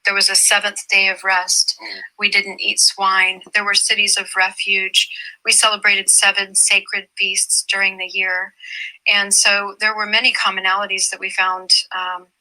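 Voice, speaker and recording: A man from the Cherokee Nation explaining in a measured way during a TV interview, listing examples. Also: high voice, completely flat delivery, pushed and bright, low-quality recording. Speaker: interviewee in a TV clip.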